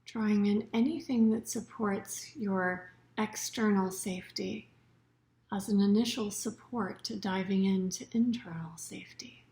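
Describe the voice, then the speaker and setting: Woman softly guiding a relaxation meditation. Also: medium pitch, steady tone. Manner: soothing, unhurried, with soft breathy phrase endings. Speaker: yoga nidra meditation teacher.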